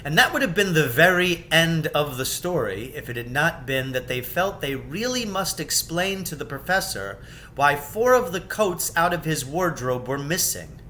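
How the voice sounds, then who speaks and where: A man reading a children's story aloud as expressive, deliberate, theatrical narration. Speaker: storybook reader. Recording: background noise with low rumble.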